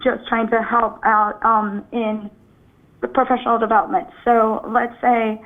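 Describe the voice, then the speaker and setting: A young woman calls in on a thin, phone-like line. She sounds jittery, with lots of restarts and apologies. Her voice sits fairly high. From Venezuela. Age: 20s. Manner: nervous, apologetic, halting. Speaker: caller.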